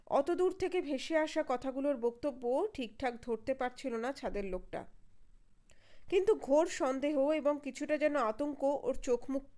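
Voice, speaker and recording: Higher-pitched woman narrating suspense fiction. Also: dark tone. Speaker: story narrator. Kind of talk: scripted dramatic suspense narration.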